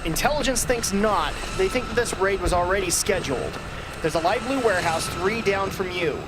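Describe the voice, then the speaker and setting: A man's voice: high-pitched, expressive, brisk. A man playing an authoritative, easily exasperated mission handler in an amateur radio drama. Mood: urgent, commanding. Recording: noisy amateur recording.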